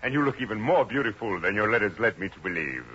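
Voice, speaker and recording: An actor playing an ardent, impetuous French suitor with florid romantic gushing in a 1950s radio comedy. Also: male voice, lowish voice, animated, fast talker, fairly bright tone. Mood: adoring, gushing. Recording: noisy, dull 1950 radio recording.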